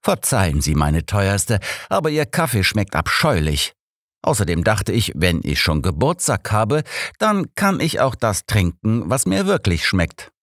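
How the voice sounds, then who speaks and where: A man's voice: lowish voice, sing-song, a little slow, fairly bright tone, dry recording, clean studio sound, pitched above the performer's own voice, full character voice. A man voicing a grumpy, sardonic old detective in an audiobook, speaking in a haughty, formal, emphatic way. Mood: haughty, teasing. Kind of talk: audiobook character reading.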